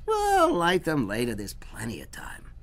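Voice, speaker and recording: A playful trickster, acted by a male reader, teases in a raised, bright voice that leaps up and down. The lines come out loud and lively. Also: unhurried, exaggerated voice. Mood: teasing. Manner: high, bright and teasing. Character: cheerful, cunning man, playful trickster.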